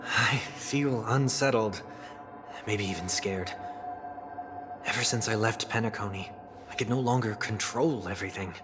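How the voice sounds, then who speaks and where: A male actor voices a refined, disciplined man in a dramatic video game scene. His pitch sits on the low side and his delivery is measured, formal and earnest. Music plays underneath. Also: natural voice. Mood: uneasy, reflective. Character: refined, introspective man of rigid, stately discipline. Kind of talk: scripted dramatic game dialogue.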